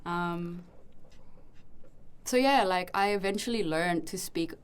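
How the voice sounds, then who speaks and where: A woman's voice: on the low side, takes it slowly, clear, resonant tone. A young woman from Indonesia who speaks with an Australian accent, telling her story reflectively in casual podcast chat, with many ums and restarts. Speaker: podcast co-host. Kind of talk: casual conversation.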